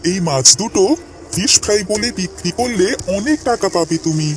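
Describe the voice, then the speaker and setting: Cartoon villager, male actor, very high voice, excitable, faint music underneath. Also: moderate pace. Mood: eager. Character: excitable village fishmonger father and his clever son. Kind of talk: cartoon voice acting.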